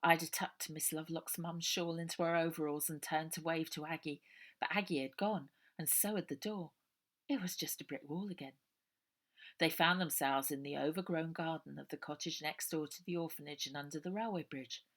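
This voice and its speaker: A woman reading a children's novel aloud as a storyteller, in an expressive scripted style. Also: low voice, moderate pace.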